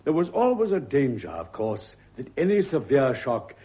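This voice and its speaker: A man playing an older doctor from a radio play, avuncular and self-assured. His mid-pitched voice moves about freely, and the recording is dull. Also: big pitch swings, normal speed, lightly acted. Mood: genial, assured. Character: genial, avuncular older country doctor and coroner. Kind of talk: British radio drama acting.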